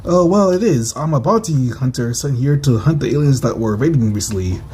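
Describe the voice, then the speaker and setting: A man's voice: mid-range voice, animated, a little fast, darkish tone, slightly rough. A man voicing a capable, apologetic young female bounty hunter in an amateur comic dub. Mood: matter-of-fact.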